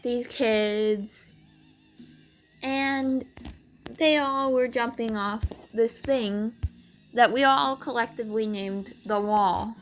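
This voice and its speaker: Slow, raspy-voiced young woman vlogger. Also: on the high side, fairly even intonation, soft background music, low-quality recording. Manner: rambling, heavy on "like" fillers. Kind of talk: storytime narration over game footage.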